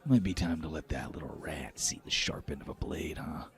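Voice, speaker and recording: A man's voice: rumbling low, normal speed, gravelly, in a whisper. A man voicing a mocking giant warrior in a tabletop game, with a sneering delivery that drips with scorn. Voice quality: dripping with mockery.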